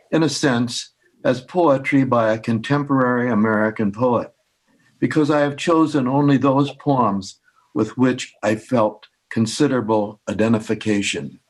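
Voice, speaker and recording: A man speaking and reading aloud at an informal poetry reading, halting and unhurried, with pauses. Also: medium pitch, husky, rough recording.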